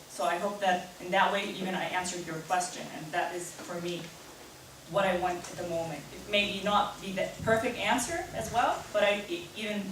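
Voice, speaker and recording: A woman speaking earnestly to a live audience at a talk event, answering questions at length. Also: mid-range voice. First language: not German; English not stated as first. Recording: very echoey room, distant mic, faint noise.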